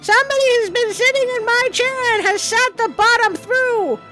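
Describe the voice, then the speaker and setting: A woman voicing a small, upset little bear cub with a tiny shrill voice in a storybook tale. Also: normal speed, music in the background. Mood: upset, plaintive.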